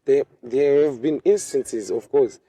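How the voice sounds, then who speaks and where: A man's voice: medium pitch, a little slow, mellow, husky, no echo. A man in his twenties from Zimbabwe whose first language is Shona, giving hesitant interview answers and often repeating words. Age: 20s. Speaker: interview guest.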